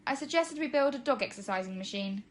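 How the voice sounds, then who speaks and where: A woman's voice: a little high, lively intonation, fast talker, a little hiss or hum, pitched above the performer's own voice, close to an everyday voice. A woman voicing a direct, unruffled young girl in a children's story, speaking insistently. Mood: confessing.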